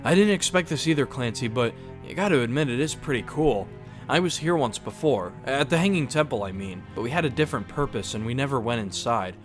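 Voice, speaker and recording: A man's voice: mid-range voice, animated, brisk, faint music behind, close to an everyday voice. A man playing a friendly, focused young hero with a casual, upbeat manner, in a fan audio drama. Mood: friendly, encouraging.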